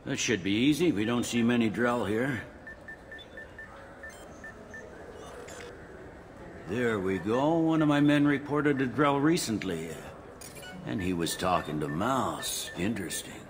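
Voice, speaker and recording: An actor playing a matter-of-fact, world-weary police officer in a video game, briefing in a dry, informal, clipped way. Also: male voice, medium pitch, expressive, moderate pace, a little hiss or hum, lightly acted. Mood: helpful, businesslike.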